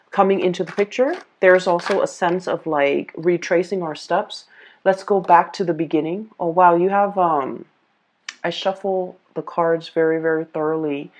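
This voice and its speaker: Low-voiced woman giving halting tarot reading. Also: a little mellow. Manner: halting, searching for words with repeats. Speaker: tarot reader. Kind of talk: casual, improvised tarot reading addressed to viewers.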